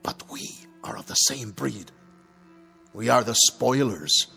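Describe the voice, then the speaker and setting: An actor playing a deposed old dictator in a radio drama, defiant and scornful, speaking rhetorically in a gravelly, husky voice. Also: male voice, medium pitch, takes it slowly, pushed and bright, full character voice. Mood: scornful defiance. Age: older. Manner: theatrical, rhetorical. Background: faint music.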